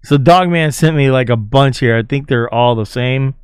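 A man's voice: mid-range voice, normal speed, smooth. A man with an informal, slangy way of talking, giving casual reaction commentary as a video host. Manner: informal, slangy, often chuckling.

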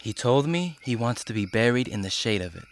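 Male actor, young brother, soft, tender, audio drama, noisy ambient backdrop. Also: medium pitch, lively intonation, brisk, close to an everyday voice. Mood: wistful. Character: gentle young brother recalling great-grandfather's stories.

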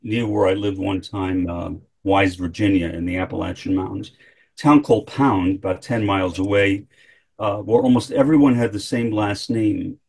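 A man's voice: on the low side, moderate pace, gravelly, darkish tone, husky. An older man with an American accent, talking casually in a group video call. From United States.

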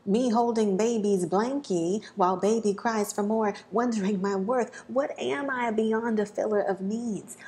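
A woman in her thirties performing a spoken word poem at home, slow and measured with dramatic pauses, sometimes dropping to soft, breathy near-whispers, recorded on a wired earphone mic. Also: fairly high pitch. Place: small room at home, indoors.

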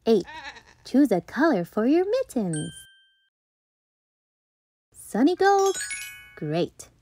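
A woman reading a children's picture book aloud as a storytime host, playful and deliberate, with dramatic emphasis. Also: a little high, sing-song, dark tone, soft background music. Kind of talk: expressive children's read-aloud narration.

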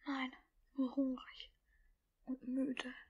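A performer plays a frail little orphan boy in an old radio play. The voice is high and breathy. He speaks faintly and haltingly, meek and very polite. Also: monotone, lo-fi sound, exaggerated voice. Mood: weary, sad. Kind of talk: 1950s German radio play acting.

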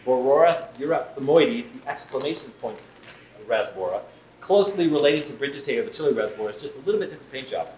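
Enthusiastic male shop host, mid-pitched, conversational unboxing commentary, roomy sound. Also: lively intonation, fast, a little mellow, a little hiss or hum. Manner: enthusiastic, chatty. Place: indoors in an aquarium shop.

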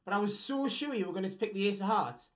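A man's voice: high voice, quick pace, a bit bright. A British man in his twenties chatting casually with strangers as a magician. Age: 20s. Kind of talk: casual chat with strangers on video. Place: small room at home.

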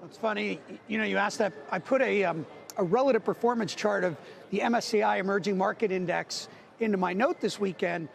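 A middle-aged man speaking as a market strategist on a TV news set, arguing points quickly with many figures. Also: sits high, vocal fry. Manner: dense with figures, argumentative. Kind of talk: financial news analysis. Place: TV studio on a trading floor.

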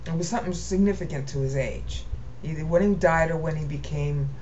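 Low-voiced American woman thinking aloud. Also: brisk, warm tone. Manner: thinking aloud, rambling, frequent "okay?" checks. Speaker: Bible teacher. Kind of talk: screen-share lecture. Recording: dull lo-fi home mic with faint hiss.

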